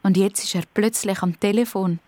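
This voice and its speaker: A woman's voice: medium pitch, steady tone, normal speed, warm tone, dry recording. A woman with a Swiss German accent narrating a scripted children's story.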